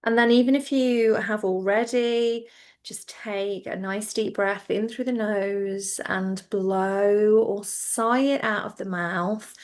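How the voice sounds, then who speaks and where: A woman teaching yoga nidra, guiding listeners into relaxation in a gentle, reassuring, informal way. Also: mid-range voice. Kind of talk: guided relaxation instruction.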